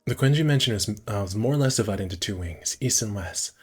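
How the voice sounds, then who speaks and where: A man's voice: on the low side, expressive, quick pace, husky, faint music behind. A man casually streaming a visual novel, reading the narration aloud and correcting himself with muttered asides.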